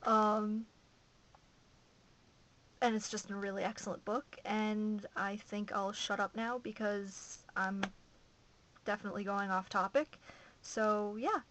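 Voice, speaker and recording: A woman's voice: mid-range voice, flat delivery, slow, long pauses, a bit bright, a little hiss or hum, low-quality recording. A young woman from Massachusetts chatting casually on her home video blog, gushing and rambling with enthusiasm, recorded on a camera mic. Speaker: vlogger.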